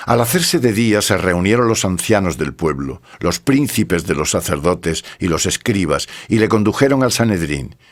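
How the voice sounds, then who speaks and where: A man narrating scripture from a script in a solemn, measured manner. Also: low voice, big pitch swings.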